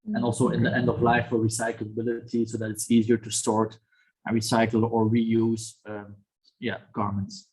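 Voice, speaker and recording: A man studying for a master's introduces his team's project on a video call. He speaks in a flat, even line with a slightly husky voice, pausing on fillers. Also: on the low side, poor audio. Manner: steady, filler-heavy. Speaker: master's student.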